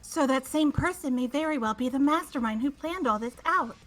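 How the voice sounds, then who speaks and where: A woman voicing a polite, precise computer program in a fan-voiced visual novel, softly explaining things in a measured way. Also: sits high, soft background music, close to an everyday voice. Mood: quietly analytical.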